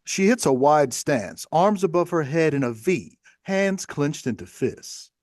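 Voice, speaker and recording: Higher-pitched man reading stage directions. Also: expressive, unhurried, a little mellow, no echo, clean studio sound. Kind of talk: scripted narration.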